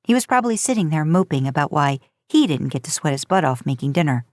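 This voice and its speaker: A woman narrates scripted fiction with an informal, conversational touch. Her voice sits somewhat low. The recording is very dry, with little room sound around her. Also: very animated, quick pace, darkish tone, no echo. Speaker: podcast host narrating fan fiction. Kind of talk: scripted story narration, audiobook style.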